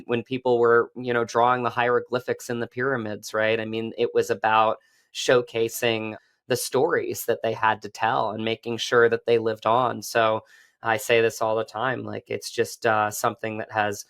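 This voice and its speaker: A man with a medium-pitched voice speaks as a guest in a relaxed interview format. His way of talking is earnest and effusive, like an actor speaking sincerely about the craft. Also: normal speed, clean tone. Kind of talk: conversational interview.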